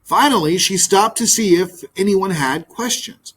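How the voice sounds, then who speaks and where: A man narrating a children's book in an expressive read-aloud style. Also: sits high, unhurried, slightly rough. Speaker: storybook reader, teacher.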